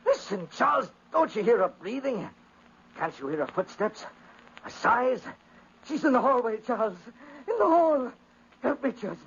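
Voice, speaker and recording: A feverish, wildly swooping crazed aristocrat. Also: male voice, very high-pitched, very animated, normal speed, breathy edge, exaggerated voice. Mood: frantic terror. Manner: feverish, urgent, theatrical. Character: crazed, paranoid aristocrat haunted by his sister. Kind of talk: 1950s radio acting. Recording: dull, noisy 1950s radio recording.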